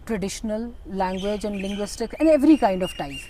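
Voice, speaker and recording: Mellow-voiced Pakistani woman interviewing. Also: medium pitch, animated, a little fast. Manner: echoes and affirms the guest's words. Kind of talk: interview questioning. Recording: faint background noise with birdsong. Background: chirping birds.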